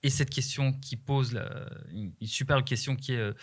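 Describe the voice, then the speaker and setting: A man's voice: mid-range voice, steady tone, normal speed. A man hosting a podcast, speaking in a chatty, enthusiastic way and cutting in on his guest. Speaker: podcast host. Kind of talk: casual interview conversation.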